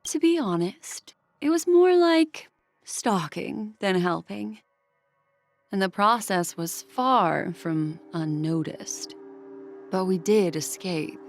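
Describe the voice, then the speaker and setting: A woman's voice: mid-range voice, animated, slow. A woman voicing a poised, mysterious woman who reads memories, speaking in a polished, courteous, lightly teasing way. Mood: composed. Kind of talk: video game voice acting. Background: game music.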